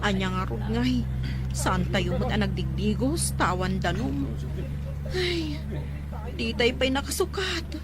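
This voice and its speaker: Lady acting bossy excitable filipina ofw, really high voice, ilocano accent, noisy background. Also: takes it slowly, long pauses. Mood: exasperated. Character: bossy, excitable Filipina migrant worker, urging her companion along. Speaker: drama voice actor. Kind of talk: dialogue acting in an Ilocano audio drama. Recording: noisy ambience behind the voice.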